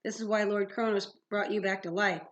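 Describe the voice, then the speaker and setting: A woman's voice: mid-range voice, steady tone, a little fast, lightly acted. A woman voicing a gloating male manticore villain from a fantasy storybook, sneering and formal, with a French accent. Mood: smug. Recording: dull lo-fi sound.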